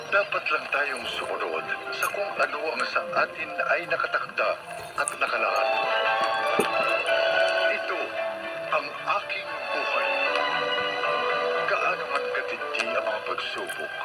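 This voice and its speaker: A man's voice: mid-range voice, low-quality recording. Male drama host: mid-range voice, grave theatrical delivery, over very prominent music. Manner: solemn, declamatory. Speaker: radio drama host narrator. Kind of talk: dramatic scripted narration. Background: loud music.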